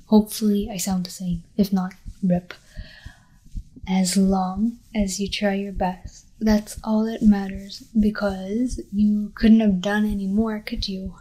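Slow, mellow-voiced woman half-whispering. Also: medium pitch, low-quality recording. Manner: rambling, drifts between soft voice and whisper. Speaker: ASMR creator. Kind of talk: soft-spoken ASMR chat. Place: apartment at night.

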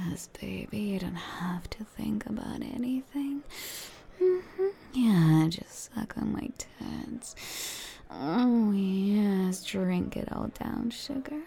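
A woman in a whispered ASMR roleplay, speaking in a soft, coaxing whisper broken up by hums. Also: medium pitch, vocal fry, slightly rough.

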